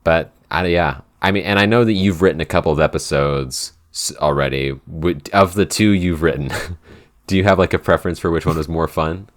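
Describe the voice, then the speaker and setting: A man with an extremely low voice talks as a podcast co-host and writer. His delivery is informal and conversational, looping back over phrases and peppering them with "like" as he works through an answer. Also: brisk. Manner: informal, circles back with frequent "like". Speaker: podcast writer and co-host reading listener questions. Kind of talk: casual Q&A chat.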